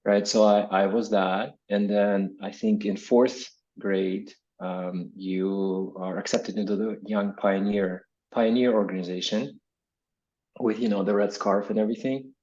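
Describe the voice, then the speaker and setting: A man's voice: on the low side, steady tone, unhurried, warm tone, dull audio. A man in his forties from Kazakhstan, an academic guest answering interview questions in a reflective, explanatory way, often checking in with "right?". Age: 40s. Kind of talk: conversational interview answers with personal recollection.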